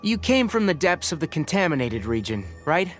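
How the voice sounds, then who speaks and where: Brief: young scholarly ranger, aloof and matter-of-fact, lively intonation, game music underneath. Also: male voice, on the high side, normal speed. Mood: dry, analytical. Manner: precise, analytical, matter-of-fact. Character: young male forest watcher, scholarly, blunt and aloof. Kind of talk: video game character dialogue.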